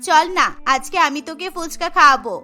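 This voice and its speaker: A cheeky, stubborn little schoolgirl from a cartoon , acted by a woman, pleading and insisting. The voice is tiny and extremely high, with a bright, piercing edge, over soft music. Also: fairly quick. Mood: insistent. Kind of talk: Bengali cartoon story voice acting.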